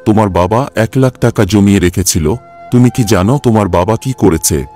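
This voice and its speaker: A man's voice: fairly low pitch, normal speed, a little mellow, faint music behind, lightly acted. A man playing a stern, reproachful older company boss in a cartoon, lecturing and scolding.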